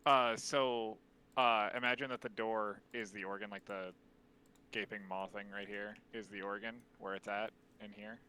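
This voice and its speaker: Deep-voiced man explaining raid tactics quietly. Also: fairly even intonation, normal speed, smooth. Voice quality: deep voice, speaks quietly on purpose. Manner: explaining, loose with many fillers. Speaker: streamer leading a raid strategy talk. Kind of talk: casual gaming voice chat.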